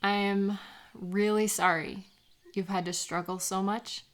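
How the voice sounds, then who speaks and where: A woman acts out a caring best friend in an audio roleplay, gentle and encouraging. She takes her time with every phrase, speaking at a slow, easy pace in a medium-pitched voice. The delivery is sincere, close and conversational. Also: little pitch movement, close to an everyday voice. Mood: tender, earnest. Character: supportive, playful best friend out birdwatching, gentle and affectionate. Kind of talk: lighthearted comfort audio roleplay, intimate one-sided conversation.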